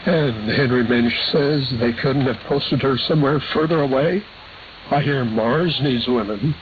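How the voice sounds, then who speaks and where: Male radio presenter, scripted news reading, measured and factual, muffled AM shortwave sound, steady hiss. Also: medium pitch. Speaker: radio programme host reading listener reports and news. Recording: hissy, dull AM shortwave radio reception.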